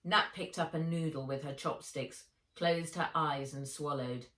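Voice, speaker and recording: A woman reading her own children's novel aloud as narrator, in measured, expressive storytelling. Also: low-pitched, slight background noise. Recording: roomy echo, distant microphone.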